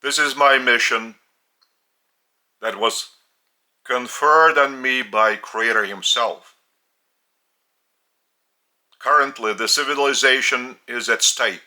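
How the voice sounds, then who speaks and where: A man with a Lithuanian accent giving a spiritual teaching in a deliberate, halting way, with long pauses between phrases. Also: medium pitch, pushed and bright.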